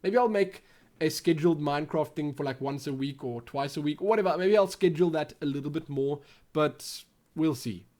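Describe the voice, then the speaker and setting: A man's voice: fairly high pitch, fairly quick, a little mellow. A young man from South Africa with a Western Cape accent, hosting a casual gaming Q&A vlog and rambling as he thinks aloud. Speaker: YouTube gaming channel host.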